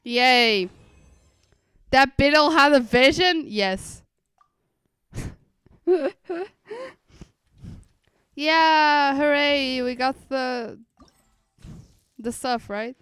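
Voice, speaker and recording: A woman's voice: high voice, very slow, long pauses, a bit bright, clear, resonant tone, no room sound. A woman streamer chatting casually and playfully to her live audience as she plays a game. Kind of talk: casual live-stream chat while gaming. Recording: dry close mic, faint music behind.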